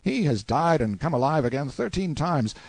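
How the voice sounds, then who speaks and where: Guy doing a cocky sardonic storybook hero, emphatic, pitch all over, slightly rough edge. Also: big pitch swings, normal speed, no echo, full character voice. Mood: boastful. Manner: exclamatory, emphatic. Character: brash, sardonic time-displaced man, boastful and scornful. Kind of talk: audiobook character acting.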